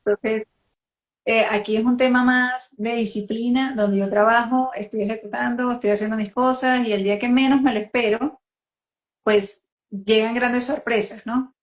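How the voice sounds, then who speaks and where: A woman born in Venezuela hosting a talk, speaking reflectively and with measured restarts about raising her children. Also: a little high, fairly even intonation. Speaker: host, mother. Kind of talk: conversational talk.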